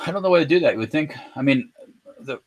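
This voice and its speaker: A man's voice: fairly high pitch, lively intonation, fast talker, darkish tone, dull audio. A man co-hosting a podcast, chatting casually and hesitantly, often trailing off. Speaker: podcast co-host. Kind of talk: casual chat about firearms.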